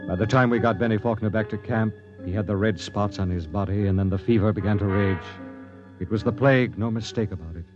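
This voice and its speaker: An actor playing a driven expedition captain who narrates a 1950s radio drama in an earnest, urgent voice. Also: male voice, fairly low pitch, fast talker, close to an everyday voice. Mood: grim. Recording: dull vintage radio with music.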